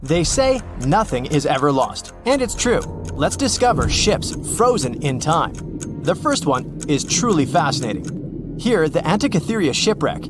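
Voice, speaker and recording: A man's voice: a little high, big pitch swings, a little slow. A man narrating a scripted explainer as the presenter of a story. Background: background music.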